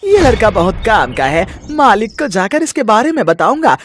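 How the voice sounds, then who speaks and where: A man's voice: high-pitched, big pitch swings, normal speed, slight background noise. A man voicing a sorcerer's sneaky, grumbling henchman in a story, scheming as he talks to himself. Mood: sly, excited.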